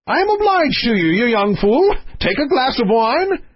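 A man's voice: extremely high pitch, big pitch swings, moderate pace, husky, dull audio, voice pushed up, exaggerated voice. A man voicing a hot-tempered, pompous old king in a storybook, with blustering, imperious exclamations. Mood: grudging, brusque. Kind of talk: storybook character voice.

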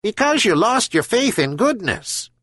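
A man voicing a wise talking herring gull in a storybook, speaking slowly and solemnly. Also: high voice, sing-song, fairly bright tone, breathy edge, no echo, studio quality, pitched above the performer's own voice, full character voice. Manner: slow, solemn counsel.

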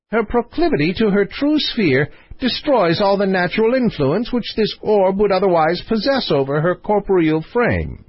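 A man's voice: high voice, breathy edge, pitched above the performer's own voice, heavily acted. A man voicing a flighty, pompous spiritualist philosopher from a storybook, declaiming insistently. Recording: echoey, dull lo-fi amateur audiobook sound.

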